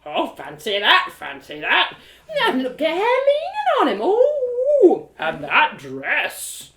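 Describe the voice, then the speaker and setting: A woman's voice: sits high, huge pitch swings, slow, a bit bright, pitched above the performer's own voice, full character voice. A woman voicing nosy, scandalized rustic village gossips in a fantasy audio drama, exclaiming in folksy phrases. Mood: scandalized, gossipy. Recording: roomy home live reading.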